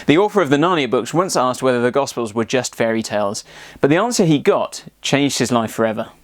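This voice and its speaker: A man's voice: mid-range voice, animated, fairly quick, no room sound, studio quality. A man presenting a scripted explanatory narration.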